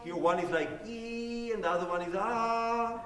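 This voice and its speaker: A man's voice: very high voice, moderate pace, fairly bright tone, recorded from a distance, faint music behind. Hebrew-speaking cellist man, echoey hall. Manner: friendly, explanatory, demonstrates as he talks. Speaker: guest cellist. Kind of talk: Q&A talk with a concert audience. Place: concert hall.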